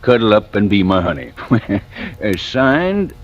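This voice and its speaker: Folksy comic sidekick, swoopy voice, old muffled radio ad. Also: male voice, on the low side, big pitch swings, measured pace. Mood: amused, comic. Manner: hesitant, sing-song when reciting, comic. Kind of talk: 1940s-50s radio ad skit acting. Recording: muffled vintage radio recording.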